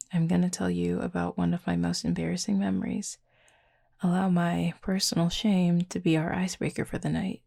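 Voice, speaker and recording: Woman acting as a female werewolf, flirty and caring, intimate audio roleplay, heavy vocal fry, slow murmur. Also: fairly low pitch, no room sound, studio quality, heavily acted. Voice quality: breathy, husky, fry-laden murmur. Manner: hushed, unhurried, teasing yet gentle.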